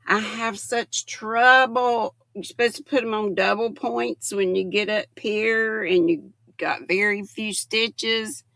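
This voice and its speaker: Older woman hosting a livestream, gravelly, unhurried delivery. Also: on the high side, fairly bright tone, no room sound. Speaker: livestream host. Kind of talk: casual chat with live viewers.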